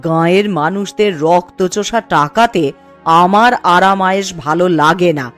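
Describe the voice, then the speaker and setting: A woman's voice: lowish voice, moderate pace, natural voice. A woman playing a scolding, principled village mother in a cartoon story, with a nagging, homely manner. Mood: exasperated. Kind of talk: Bengali cartoon story acting. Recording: music behind the voice. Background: background music.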